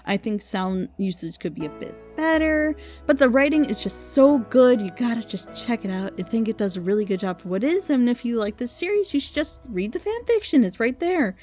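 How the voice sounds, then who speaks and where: Female video host, higher voice, informal and enthusiastic recommendations, loosely delivered, faint music underneath. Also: lively intonation, a little fast, a little mellow. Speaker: video host recommending fan audio dramas. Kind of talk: casual unscripted review.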